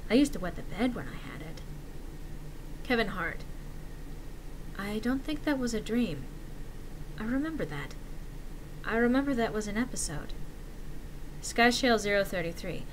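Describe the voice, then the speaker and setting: A woman giving an expressive, theatrical reading of a horror story written as forum posts. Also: medium pitch, normal speed, long pauses, background noise.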